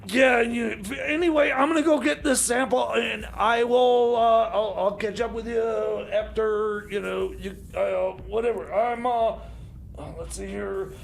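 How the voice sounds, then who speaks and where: A man playing an excitable, muddled, secretive time machine mechanic who stammers, rambles and repeats himself in comedy radio theater. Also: very high voice, a little slow, creaky, full character voice. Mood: flustered, evasive. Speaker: comedy sidekick on radio show. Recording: noisy community radio broadcast.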